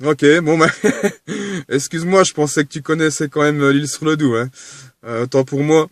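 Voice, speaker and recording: A man's voice: fairly high pitch, moderate pace, dry recording, studio quality. A man chatting casually as an amateur radio operator from a parked vehicle, speaking into a two-way radio microphone.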